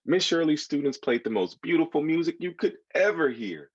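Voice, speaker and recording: An American man in his thirties reading a children's book aloud, expressively, pausing to put questions to his young listeners. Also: a little high, husky, no room sound, dull audio. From United States. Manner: expressive, engaging listeners with asides. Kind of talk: animated storytelling to children, with questions.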